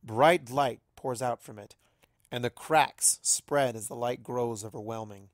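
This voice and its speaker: A man's voice: mid-range voice, measured pace, dry recording. A man reading a scripted story as an amateur narrator, in a dramatic storytelling style. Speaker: amateur fan fiction reader.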